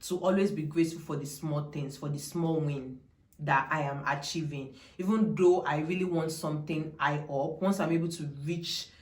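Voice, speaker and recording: A woman's voice: sits low, fairly even intonation, moderate pace, roomy sound. A young woman with a Nigerian accent, talking casually and emphatically to camera, wandering into digressions and repeating her points. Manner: chatty, digressive, emphatic repetition. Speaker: vlogger.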